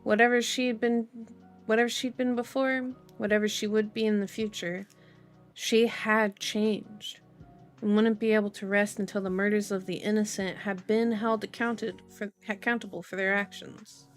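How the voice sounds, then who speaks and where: A woman's voice: medium pitch, flat delivery, moderate pace. A woman reading a novel aloud in an ASMR style with chatty, playful asides, as the host of her channel. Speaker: book reader, channel host. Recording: music behind the voice. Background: music.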